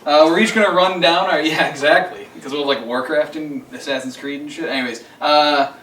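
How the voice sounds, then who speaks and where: A man's voice: fairly high pitch, fairly even intonation, brisk, sharp tone, a little hiss or hum. A man hosting a casual group countdown chat in a jokey, informal way. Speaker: podcast host. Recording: echoey room, distant microphone.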